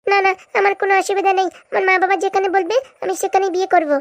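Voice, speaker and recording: A performer as a high-voiced demure cartoon bride-to-be. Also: level tone, rapid-fire. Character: polite, obedient young bride-to-be, cartoon. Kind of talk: comic cartoon dialogue.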